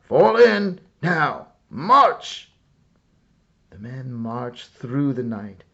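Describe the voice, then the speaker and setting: Slow, gravelly man reading a story aloud. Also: medium pitch, darkish tone, dull audio. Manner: measured, dramatic read-aloud delivery. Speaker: storyteller reading a picture book. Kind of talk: expressive scripted story narration.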